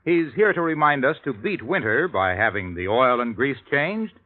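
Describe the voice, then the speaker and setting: A man announcing a commercial in a 1950s radio sales pitch style. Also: very animated, moderate pace. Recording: tinny old radio recording.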